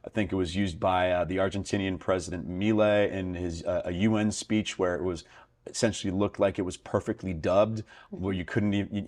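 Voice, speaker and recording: A man with a very deep, low voice hosts an interview. He thinks out loud as he talks, rambling and filling pauses with ums and restarts. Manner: rambling, thinks aloud with many fillers. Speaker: podcast host. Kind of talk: conversational interview.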